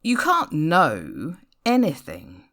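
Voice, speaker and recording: Preachy, insistent young New Age believer woman performing, spoken-word piece, lively swooping intonation, declamatory. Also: sits low, takes it slowly, full character voice.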